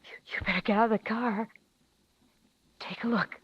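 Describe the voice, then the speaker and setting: Frightened young woman, highish voice, hesitant repeated phrases, 1950s radio thriller, muffled recording. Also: fairly even intonation, moderate pace, a bit bright, husky. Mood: shaken, hushed. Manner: hesitant, repetitive, breaking phrases. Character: frightened, clinging young woman, pleading companion.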